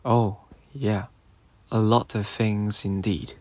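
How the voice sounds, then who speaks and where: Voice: medium pitch, level tone, takes it slowly, darkish tone, no echo, dull audio. An actor in a radio drama playing an earnest, stubborn male college freshman who appears elderly, speaking plainly. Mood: grateful, subdued. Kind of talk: amateur radio drama acting.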